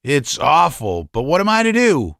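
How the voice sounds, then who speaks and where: A man voicing an agitated young male asylum patient who is bitter and scornful, shouting and laughing mockingly. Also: fairly high pitch, huge pitch swings, normal speed, vocal fry, no echo, studio quality, heavily acted. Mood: desperate.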